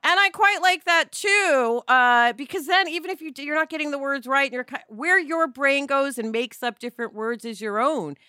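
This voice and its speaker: A woman's voice: sits high, moderate pace, few pauses, sharp tone, dry recording, studio quality. An American woman from New York City in a casual panel discussion, talking emphatically and in anecdotes. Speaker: podcast panelist.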